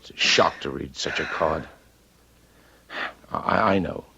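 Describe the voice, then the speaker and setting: A deep, husky male voice on a dull old radio drama recording. a watchful, protective man, earnest and reassuring as he probes with serious questions. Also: takes it slowly, bright, forceful tone, exaggerated voice. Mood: concerned.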